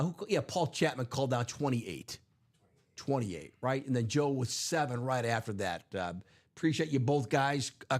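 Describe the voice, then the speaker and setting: A man hosting a live card break stream, chatting loosely with many fillers, his voice going hoarse. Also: mid-range voice. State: losing his voice. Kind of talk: casual live stream chat.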